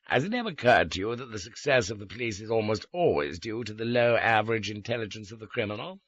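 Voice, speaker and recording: A man's voice: on the low side, animated, fast, a bit bright, husky, no echo, lo-fi sound. A man voicing a cold, educated male villain who talks with smug, precise superiority.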